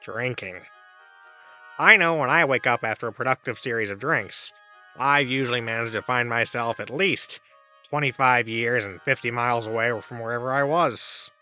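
Male actor as wry, theatrical podcast advice host: flippant, very forward and crisp-edged tone, music underneath. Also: mid-range voice, moderate pace, bright, forceful tone. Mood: flippant, arch. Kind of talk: scripted comedic advice monologue, reading letters aloud.

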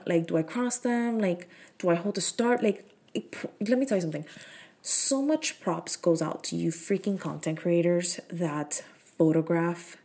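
A woman's voice: mid-range voice, darkish tone. A woman, hosting her own podcast in frank, profane, filler-heavy casual chat. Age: 30s. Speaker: podcast host.

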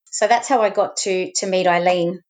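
A woman's voice: on the low side, moderate pace, a little mellow. An Australian woman in her thirties from Sydney, a yoga teacher chatting as an interview guest with frequent restarts and "you know". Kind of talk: casual interview conversation.

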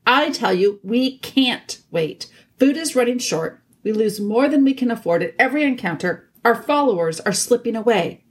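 A woman's voice: on the high side, animated, moderate pace, hardly any pauses, voice pushed up, close to an everyday voice. A woman voicing an angry, sarcastic male dwarf who snarls scornfully in a storybook reading.

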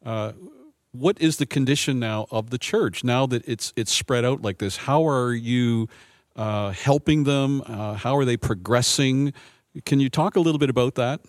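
A man's voice: medium pitch, dry recording, clean studio sound. A man who is a pastor hosting an interview, asking questions with frequent fillers. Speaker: pastor and host. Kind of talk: interview host.

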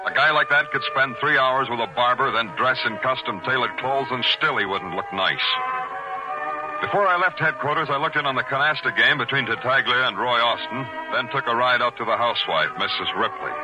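An actor playing a sardonic police detective in 1940s radio noir, with clipped, wry, needling delivery. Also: male voice, mid-range voice, lively intonation, brisk, over music, natural voice, low-quality recording. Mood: wry narration. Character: hard-boiled, sardonic male police detective. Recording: old, rough-sounding radio drama recording.

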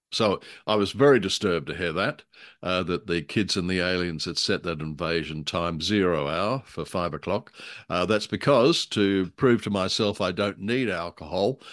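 A man's voice: deep voice, fairly bright tone, dry recording, clean studio sound. A man co-hosting a casual discussion show, talking hesitantly with wry humour. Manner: hesitant, wryly humorous storytelling. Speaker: podcast co-host. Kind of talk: casual discussion of a radio drama.

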